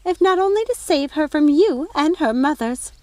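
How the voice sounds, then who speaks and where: A woman's voice: sits high, expressive, moderate pace, a little mellow, dry recording, pitched above the performer's own voice. A woman voicing a haughty, indignant fairy godmother in a storybook, with an emphatic, scolding manner. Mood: accusing.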